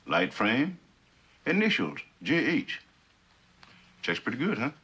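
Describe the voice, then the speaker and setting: An actor playing an earnest police officer in an old television drama, reporting in a clipped, methodical way with a husky voice. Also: male voice, mid-range voice, big pitch swings, takes it slowly, sharp tone, heavily acted. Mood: businesslike. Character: earnest police subordinate reporting case findings. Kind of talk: 1960s television drama acting. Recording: dull old TV soundtrack.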